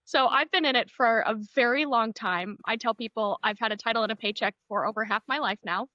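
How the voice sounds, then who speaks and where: Young woman, mid-twenties, from Maine, bright tense voice, slightly high, upbeat storyteller, dry sound. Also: brisk, no echo, dull audio. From Maine, USA. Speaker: podcast guest, rental manager. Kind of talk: casual interview chat. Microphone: remote video call.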